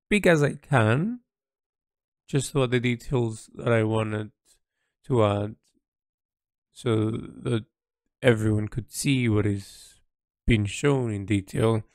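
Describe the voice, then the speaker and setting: A man recording a reflective, unscripted audio diary as an artist, speaking in a halting, thoughtful way with pauses mid-phrase. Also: fairly low pitch, darkish tone, no room sound, clean studio sound. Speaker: artist keeping an audio log.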